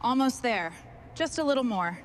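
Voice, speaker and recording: A woman with a high voice gives terse, step-by-step remarks on a machinery restoration job. There is clear ambient noise behind her short phrases. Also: lively intonation, moderate pace. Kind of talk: short work commentary during restoration.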